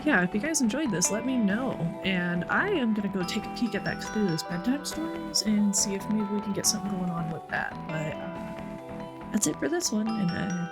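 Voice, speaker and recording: A woman with a mid-range voice hosts a let's play. She comments on the game and reads its text aloud. Music plays loudly behind her. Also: mid-range voice, expressive, normal speed. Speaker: gaming channel host. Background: loud music.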